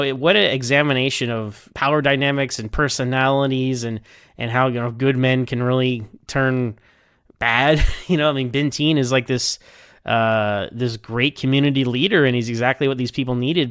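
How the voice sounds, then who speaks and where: Enthusiastic, stammering man with a clear voice. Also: mid-range voice, normal speed, a bit bright, dry recording, clean studio sound. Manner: enthusiastic, rambling, stammering with repeated words. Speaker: listener sending in episode feedback. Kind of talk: casual spoken review of a TV episode.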